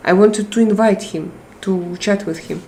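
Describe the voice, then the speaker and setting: A middle-aged woman with a Russian accent chatting casually on a live stream, halting and restarting often as she searches for words. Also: medium pitch, steady tone, dark tone, slight background noise. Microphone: tablet microphone.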